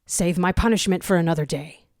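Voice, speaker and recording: A woman's voice: lowish voice, a little fast, no echo, clean studio sound, lightly acted. Woman acting a proud, tormented jackal commander, clipped and theatrical. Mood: grim, defiant. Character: proud, tormented male jackal squad commander, gruff and urgent.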